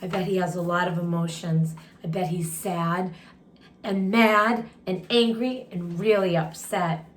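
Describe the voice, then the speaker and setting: A woman reading a children's picture book aloud expressively, pausing to ask listeners questions and share reactions. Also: lowish voice, fairly even intonation, slight background noise. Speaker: story time host, read-aloud teacher. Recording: roomy, echoing room sound.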